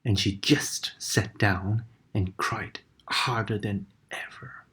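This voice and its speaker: A woman reading a children's storybook aloud with expressive, dramatic narration that sometimes drops into a whisper. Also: rumbling low, slow pace.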